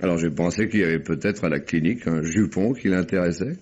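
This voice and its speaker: A man playing a seasoned, shrewd police commissioner in a 1950s French radio drama, with measured, colloquial speech. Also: low-pitched, a little mellow, slightly rough. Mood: satisfied, explaining. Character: seasoned, methodical male police commissioner, polite but shrewd. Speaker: actor in a radio mystery. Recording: dull vintage radio recording.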